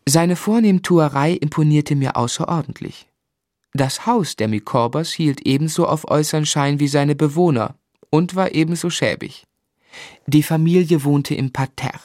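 An actor narrating as a grown man looking back on a lonely boyhood, in measured literary German radio drama style. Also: on the high side, darkish tone, no echo, studio quality, natural voice. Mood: reflective. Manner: reflective, measured storytelling.

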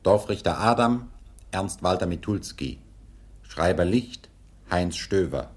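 A man's voice: sits low, lively intonation, slow. A man formally announcing scripted credits for a radio production.

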